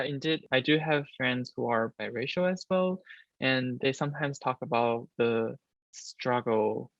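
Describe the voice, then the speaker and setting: A man's voice: mid-range voice, creaky, fairly bright tone, no room sound, highs cut off. A man hosting an interview, asking questions and giving frequent short affirmations. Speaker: interviewer and pianist host. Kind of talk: interview questions with brief backchannels.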